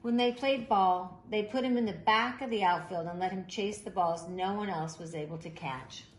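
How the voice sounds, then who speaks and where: A woman reading a children's picture book aloud in an expressive, animated way, with deliberate emphasis. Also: mid-range voice. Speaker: storybook reader. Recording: roomy echo, faint background noise.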